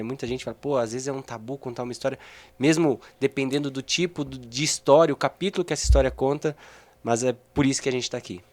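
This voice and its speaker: A man's voice: medium pitch, a little mellow. A man hosting a faith podcast, welcoming the guest and framing the conversation earnestly. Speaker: podcast host.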